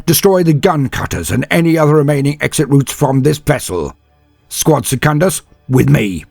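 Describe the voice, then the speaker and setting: A hard-bitten male executive officer, as the narrator plays him barking orders, raised in pitch and loud. The voice is husky and lively, close and dry like an audiobook. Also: normal speed, no room sound, soft background music.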